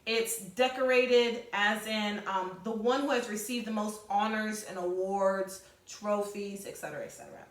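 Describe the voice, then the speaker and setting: A woman teacher leading a read-aloud lesson, informally addressing pupils with explanatory asides and slightly halting reading. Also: medium pitch, fairly even intonation. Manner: halting, self-correcting reading. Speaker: sixth-grade teacher. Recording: echoey room.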